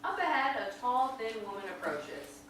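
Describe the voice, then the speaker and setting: A woman's voice: a little high, very animated, moderate pace. A woman reading stage directions as scripted narration at a staged play reading. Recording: very echoey hall, distant mic, faint noise.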